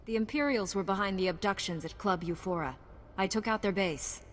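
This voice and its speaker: An actress playing a righteous young warrior knight in a video game, composed, firm and formal. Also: female voice, mid-range voice, fairly quick, slight background noise, natural voice. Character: resolute, righteous young female Jedi knight. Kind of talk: scripted video game cutscene acting.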